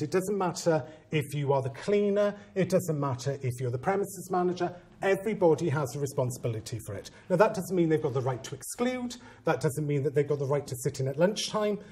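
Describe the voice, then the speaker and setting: A man's voice: on the high side, dark tone, slight background noise. A Welsh man in his forties, a head teacher speaking at a conference to a room of teachers, theatrical and teasing, acting out quoted voices. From Wales. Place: conference training room with seated audience.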